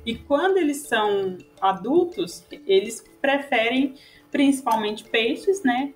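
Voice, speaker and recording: A woman's voice: on the high side, expressive, slow pace, soft background music. A woman explaining in an interview, who often adds a questioning tag. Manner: explanatory, frequent "né".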